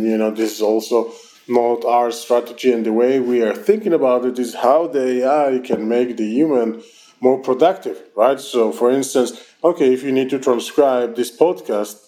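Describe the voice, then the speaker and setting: Israeli-accented man, mellow voice. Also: mid-range voice. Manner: fast, loose phrasing with frequent restarts. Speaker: company founder and CEO. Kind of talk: casual interview answers. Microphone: remote online call.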